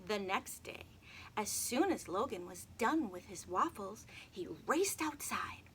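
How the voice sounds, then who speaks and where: A woman reading a children's chapter book aloud, addressing young listeners in an expressive storytelling manner. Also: medium pitch, a little slow, slight background noise. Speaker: read-aloud host.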